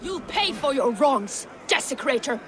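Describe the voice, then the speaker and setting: A woman's voice: high-pitched, sing-song, measured pace, over music. A woman playing an angry, scornful pagan Norse villager in a video game, accusing and mocking. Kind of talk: dramatic video game acting.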